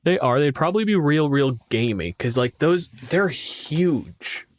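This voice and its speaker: A man's voice: a little high, lively intonation, measured pace, dry recording. A man co-hosting a podcast with casual banter, blunt, argumentative and prone to swearing. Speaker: podcast co-host. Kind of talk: casual banter, answering listener questions.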